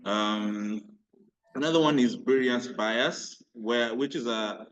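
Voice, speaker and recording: A man's voice: mid-range voice, slow pace, a bit bright, muffled recording. A man giving a class presentation, often repeating words as he searches for them. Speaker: student presenter.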